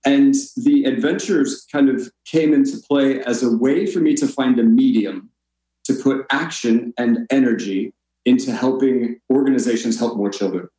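A man's voice: medium pitch, moderate pace, dark tone, reverberant, rough recording. An American man from Colorado speaking as a podcast guest, telling stories casually in a gracious, self-deprecating way. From Colorado, United States. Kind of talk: casual conversational storytelling.

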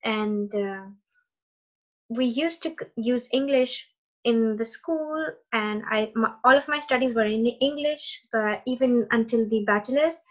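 A woman whose first language is Urdu speaks in a fairly high voice, encouraging and reflective, now and then pausing on fillers. The sound is a little dull and lo-fi. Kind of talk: casual conversation.

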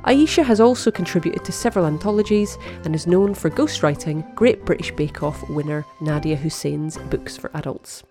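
A woman with a low voice presents a podcast from a script. She reads a prepared introduction, with music faintly behind her. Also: moderate pace, few pauses, warm tone.

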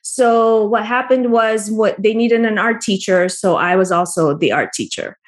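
A woman's voice: medium pitch, measured pace. Friendly woman artist guest from the Philippines. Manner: friendly, laughs softly mid-sentence. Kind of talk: casual interview answers. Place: Albany region, New York.

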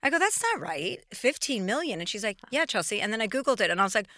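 A bright, forceful woman's voice hosting a casual chat, brash and joking as she tells stories. The sound is close and dry, with no room behind it. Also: on the high side, sing-song, no echo. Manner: brash, joking, quick storytelling. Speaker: podcast host.

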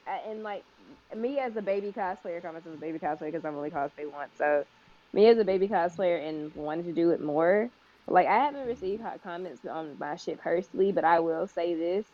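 A woman's voice: on the low side, brisk, mellow. A woman, a beginner cosplayer, talking bluntly and swearing freely in a casual group voice chat. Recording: dull lo-fi call audio.

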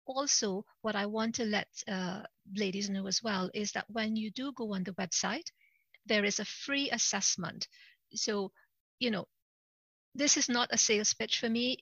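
Middle-aged Trinidad-born woman, guest coach; bright, gravelly voice, earnest, quick to laugh. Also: mid-range voice, dry recording, highs cut off. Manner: earnest, repeats words, laughs easily. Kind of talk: casual interview conversation.

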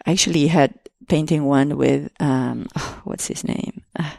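A woman from Argentina with an Argentine Spanish accent, a native Spanish speaker, talking as an artist guest in a casual interview, pausing and restarting often. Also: low-pitched, vocal fry, darkish tone, dry recording, clean studio sound. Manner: hesitant, many restarts and fillers.

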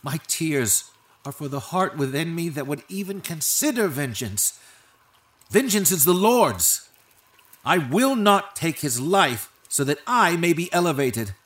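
A man's voice: fairly high pitch, big pitch swings, measured pace, a bit bright, dry recording, full character voice. A man playing an impassioned, loyal young warrior who pleads with anguish in an earnest, formal Bible drama. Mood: tearful resolve. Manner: earnest, emphatic, archaic formal phrasing. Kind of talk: dramatized Bible story acting.

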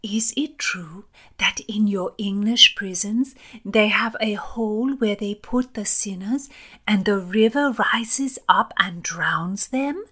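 A woman performs a young Swedish noblewoman in a historical audiobook, pitched high and girlish. She is naive and gushing, stretching out her breathy, excitable phrases. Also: slow pace. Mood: eager.

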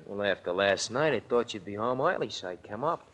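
An actor playing a detective's casual, curious sidekick in a 1940s radio drama, breezy and inquisitive. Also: male voice, on the low side, animated, brisk, a bit bright. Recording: vintage 1940s radio recording.

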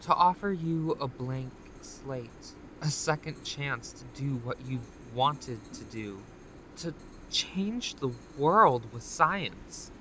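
An actress playing a calmly manipulative female recruiter in an audio drama, with a measured, condescending delivery. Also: extremely low pitch, a little hiss or hum, full character voice, poor audio. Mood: coaxing.

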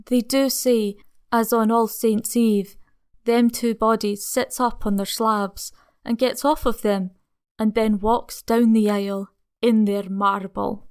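A man reading aloud and putting on the voice of an old country housekeeper, a placid, superstitious woman with a who speaks slowly and ominously. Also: sits high, level tone, clean tone, pitched above the performer's own voice. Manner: slow, hushed, ominous.

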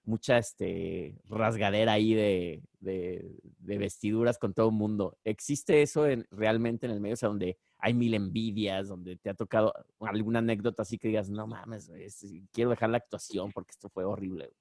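A man's voice: on the low side, steady tone, moderate pace, creaky, hardly any pauses, darkish tone, no echo, clean studio sound. A man with a Mexican Spanish accent, hosting a casual podcast, joking and slangy, laughing often. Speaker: podcast host.

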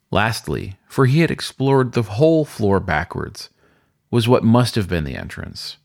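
A man's voice: on the low side, creaky. A man reading a spooky story as narrator, in scripted, dramatic storytelling style.

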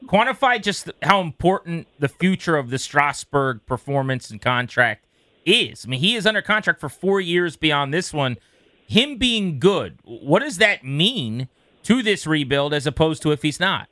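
A man's voice: a little high, big pitch swings, moderate pace, bright, forceful tone, dry recording. A man hosting sports talk radio, posing questions and laying out his analysis.

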